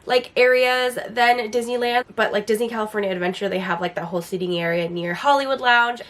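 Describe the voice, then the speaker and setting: A woman with a slightly raspy, hoarse voice from getting over the flu, chatting enthusiastically through a casual Q&A vlog with lots of "like" fillers. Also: a little high, a little fast, a bit bright. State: recovering from a flu, a bit hoarse. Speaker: lifestyle vlogger.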